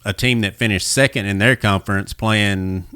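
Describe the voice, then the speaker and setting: A man hosting a podcast, chatting casually about sports in a conversational, somewhat halting way. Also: on the low side, fairly even intonation, pushed and bright, smooth, no echo, studio quality. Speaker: podcast host.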